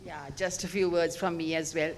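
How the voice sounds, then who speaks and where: A man's voice: on the high side, little pitch movement, normal speed. A man, a chairman giving praising closing remarks at a panel. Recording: reverberant hall with faint noise.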